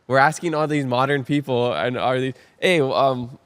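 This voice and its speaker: A man's voice: mid-range voice, moderate pace, fairly bright tone, smooth. A man from Hawaii hosting a podcast, talking casually and earnestly, thinking aloud with many restarts. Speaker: podcast host. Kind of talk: casual conversation.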